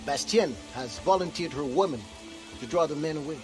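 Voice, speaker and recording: A man's voice: a little high, normal speed, natural voice. An actor playing the earnest leader of a community of freed slaves, weighing his words and dropping French Creole proverbs. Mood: resolute. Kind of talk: video game cutscene acting. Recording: game cutscene with music behind.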